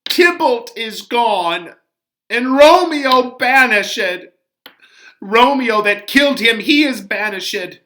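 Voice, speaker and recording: A man voicing a distraught older nurse from a Shakespeare play, crying out in loud, drawn-out sobs. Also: very high voice, lively intonation, exaggerated voice. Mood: grieving. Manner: loud, drawn-out, sobbing cries.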